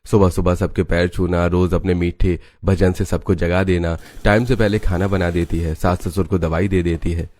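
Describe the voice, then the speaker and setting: A man's voice: low-pitched, flat delivery, moderate pace, hardly any pauses, darkish tone, dry recording. A man narrating a scripted family story in Hindi cartoon style.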